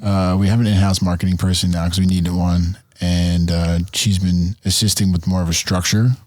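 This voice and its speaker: A man talking as a guest on a casual podcast, relaxed and self-deprecating, often restarting his words. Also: deep voice, normal speed, no echo, clean studio sound. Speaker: podcast guest, brand founder. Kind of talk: casual interview chat.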